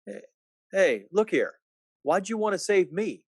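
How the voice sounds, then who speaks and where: A man playing a despairing, sardonic small-town family man in a radio play, with a halting, folksy, skeptical drawl. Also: high voice, big pitch swings, breathy edge, exaggerated voice. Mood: skeptical, puzzled. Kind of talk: 1940s-style radio play acting.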